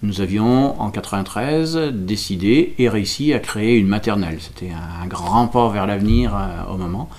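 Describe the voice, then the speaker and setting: Low-voiced man explaining, searching for words. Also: normal speed, few pauses, darkish tone. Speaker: local representative explaining a village school project. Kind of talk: explanatory interview answers.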